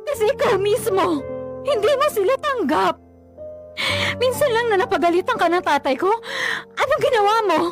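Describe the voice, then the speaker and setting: A fed-up young woman, very high voice, radio drama, faint music behind. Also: animated, normal speed. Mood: tearful. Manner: pleading, exasperated. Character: young woman confronting her possessive ex.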